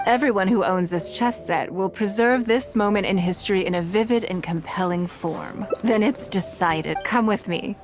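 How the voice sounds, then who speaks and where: A woman voicing a composed, wealthy woman leader in a game, measured and authoritative. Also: medium pitch, close to an everyday voice. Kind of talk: game voice acting. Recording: game dialogue over faint music.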